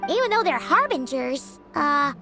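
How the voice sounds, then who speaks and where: A woman voicing a perky, inquisitive little childlike companion in a video game, squeaky and excitable. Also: unhurried, music in the background, heavily acted. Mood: earnest.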